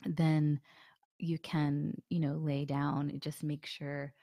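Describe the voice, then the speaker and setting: Low-voiced woman with a sore throat. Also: slow, dry recording, clean studio sound. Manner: soft, relaxed, many fillers; drops to a breathy near-whisper. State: sore throat, slightly hoarse. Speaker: meditation guide. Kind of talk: guided meditation with a live group.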